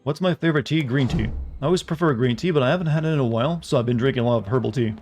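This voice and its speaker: Wry, slightly raspy male streamer. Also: mid-range voice, racing, darkish tone, a little hiss or hum. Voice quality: a bit raspy. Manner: chatty and wry, joking asides. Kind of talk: live gameplay commentary. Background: faint game music.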